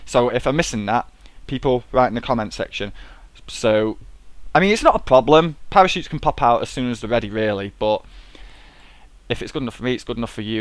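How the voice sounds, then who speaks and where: Man at his desk, stuffy from a cold, loose rambling sweary delivery, medium pitch, dry close mic. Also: no room sound. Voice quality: swears freely, rambling and chatty. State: sniffly with a cold. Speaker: gameplay commentator. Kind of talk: casual let's-play commentary.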